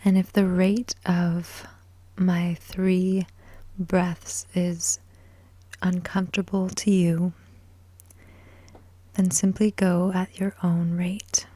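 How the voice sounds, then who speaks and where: Hushed, breathy woman guiding slow sleep hypnosis. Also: lowish voice, level tone. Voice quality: soft, breathy, whispery. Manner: soothing, measured, with long pauses between short phrases. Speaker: hypnosis and meditation guide.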